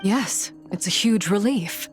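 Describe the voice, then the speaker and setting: A woman's voice: medium pitch, takes it slowly, faint music behind, natural voice. A woman voicing a poised young woman in an anime game, formal, articulate and composed. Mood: composed, concerned.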